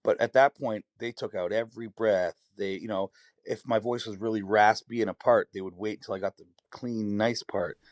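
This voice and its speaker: Raspy canadian guy, lowish voice, rambles and restarts a lot, dry close sound. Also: fairly even intonation, normal speed, dry recording. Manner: candid, rambling storytelling with many restarts. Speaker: singer-songwriter guest. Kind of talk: podcast conversation.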